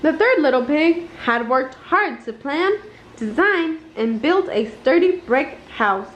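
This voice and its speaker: A woman reading a children's picture book aloud with an expressive, deliberate storytelling delivery in an indoor room. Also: sits high. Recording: roomy, dull home recording with noise.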